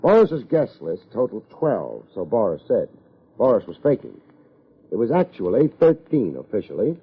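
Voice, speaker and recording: An American actor playing a tough, wry private investigator in 1950s radio detective style, with a husky voice and clipped, sardonic delivery. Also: male voice, on the low side, big pitch swings, moderate pace, heavily acted. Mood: hard, accusing. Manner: clipped, hard-boiled, sardonic. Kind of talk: 1950s radio detective acting and first-person narration. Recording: muffled vintage radio recording.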